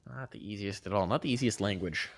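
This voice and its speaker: A man casting an esports match, jokey and excitable, his voice jumping high on big plays. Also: quick pace. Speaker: esports caster. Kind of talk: play-by-play commentary.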